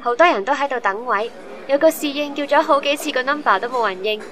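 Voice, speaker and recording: A woman's voice: high voice, quick pace, fairly bright tone. A woman playing a caring, chatty young student abroad in a radio drama, with a Hong Kong Cantonese accent. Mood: cheerful. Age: young adult.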